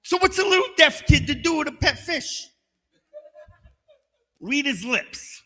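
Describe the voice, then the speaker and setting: High, bright-voiced middle-aged male comic. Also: unhurried, long reverb tail. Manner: punchy, deadpan asides, bursts into loud shouted singing. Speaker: stand-up comedian. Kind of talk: stand-up comedy set. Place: comedy stage.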